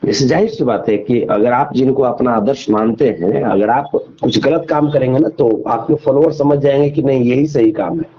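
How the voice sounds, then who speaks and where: Husky man on a muffled call. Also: medium pitch, normal speed, hardly any pauses. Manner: emphatic, repeats phrases, argues with rhetorical questions. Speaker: interview guest. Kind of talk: phone interview, opinionated conversational talk. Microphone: phone call. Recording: dull lo-fi phone call recording.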